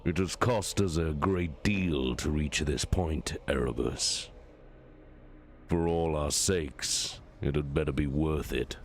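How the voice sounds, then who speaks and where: A man acts out a commanding sci-fi warlord from a storybook. The voice is extremely low and slow, grave and full of threat. Faint ambience hums behind it. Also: vocal fry, slight background noise, exaggerated voice. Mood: cold menace. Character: commanding, menacing male warlord of a space army.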